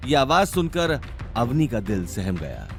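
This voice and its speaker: A man's voice: mid-range voice, wildly swooping pitch, unhurried, faint music behind. A man narrating a scripted audio story in a dramatic style.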